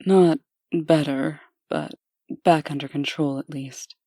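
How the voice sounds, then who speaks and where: A woman's voice: low voice, fairly even intonation, slow pace, dark tone, dry recording, studio quality. A woman playing a proud, earnest official who speaks formally and firmly, in a comic dub. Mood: composed, resolute. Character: proud, composed woman official, anxious for her sister. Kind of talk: comic dub dramatic acting.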